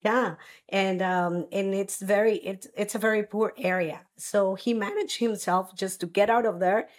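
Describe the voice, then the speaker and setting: A woman from Costa Rica in her thirties, telling her personal story as a guest in a halting, earnest way with many restarts. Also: medium pitch, steady tone.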